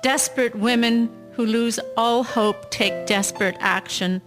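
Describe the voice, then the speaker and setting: A woman acting a grieving farm mother from the early twentieth century in a radio drama. Her voice is somewhat high, and she carries the weight of a desperate life. Faint music plays with it. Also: steady tone, measured pace, close to an everyday voice. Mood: cold confession. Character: grief-stricken, desperate mother in 1912 rural Canada.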